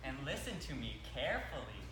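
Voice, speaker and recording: A bossy, callous survivor leader, acted on stage, blunt and commanding, with lively swings in pitch. The stage recording is echoey, with clear noise. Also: a little fast, natural voice. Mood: coolly callous. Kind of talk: comedic stage acting. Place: stage in a hall.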